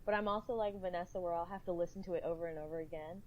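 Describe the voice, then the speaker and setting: A woman with a lowish voice chats casually as a podcast guest. The sound is a bit dull, with faint room noise behind her. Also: steady tone, racing, darkish tone. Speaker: podcast guest, cast member. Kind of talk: casual round-table chat.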